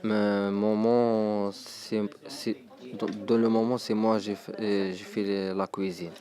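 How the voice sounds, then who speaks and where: Slow, flat young man, Algerian accent. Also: on the low side. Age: young adult. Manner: hesitant, halting. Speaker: high school student. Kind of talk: personal account.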